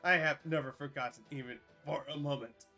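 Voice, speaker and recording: A furious warrior lord of a beast clan roars at the queen he served, his voice loud and strained, as a man acts him out. The tone is bright and forceful, straight out of a fan-dubbed fantasy cartoon. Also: on the high side, steady tone, a little fast, vocal fry, faint music behind. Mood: pleading. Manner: shouting, raging. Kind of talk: fan dub of an animated fantasy scene.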